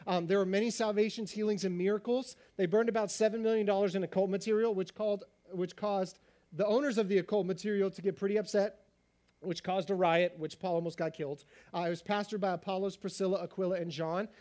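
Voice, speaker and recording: A man with a high voice delivers a sermon at a quick, energetic clip. His voice is slightly raspy. He keeps a lively, conversational tone with his congregation. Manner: fast-talking, animated preacher. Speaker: pastor.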